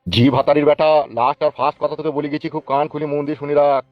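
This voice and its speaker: Casting: male actor as blustering, threatening politician for comic cartoon, highish pitch, very fast, dry lo-fi sound. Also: little pitch movement, no room sound, lightly acted. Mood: scolding, threatening. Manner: scolding, cursing, blustering. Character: angry foul-mouthed male village politician, bullying and threatening. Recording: dull lo-fi, music behind.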